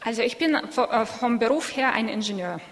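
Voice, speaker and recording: A woman with a fairly high voice interprets a sermon live, one phrase at a time. Her delivery is a little halting, with small self-corrections, and the church hall adds long reverb. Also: expressive, slight background noise.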